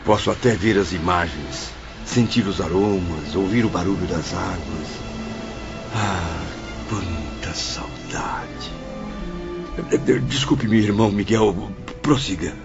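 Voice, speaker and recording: A man's voice: sits low, slow pace. A man playing an eager, courteous spirit apprentice in an audio drama, emotive and a little hesitant. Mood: wistful. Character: eager, homesick, polite male spirit apprentice. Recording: dull audio with clear background music.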